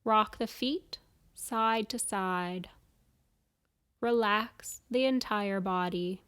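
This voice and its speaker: A woman guiding a yoga nidra meditation, giving soothing, measured instructions with pauses between phrases. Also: mid-range voice, fairly bright tone, clear, resonant tone. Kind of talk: guided meditation.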